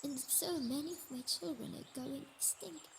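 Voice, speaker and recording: Actress as ailing Earth goddess: higher female voice, gentle, hesitant, emotionally raw audio drama, faint birdsong ambience. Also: normal speed, a little hiss or hum, low-quality recording. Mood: anguished, sickly. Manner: tender, hesitant, emotional, breaking into whispers. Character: sickly Mother Earth goddess, gentle, motherly, wounded, anguished. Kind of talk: scripted intimate audio roleplay monologue. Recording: nature ambience with birdsong behind voice.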